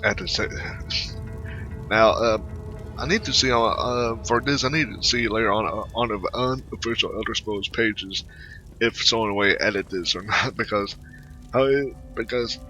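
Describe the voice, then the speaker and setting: A man with a fairly low voice comments casually on his own gameplay. He rambles in a halting, mumbly way, repeating words and trailing off. Game music is clearly audible behind him. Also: sharp tone, poor audio. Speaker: gamer narrating his playthrough.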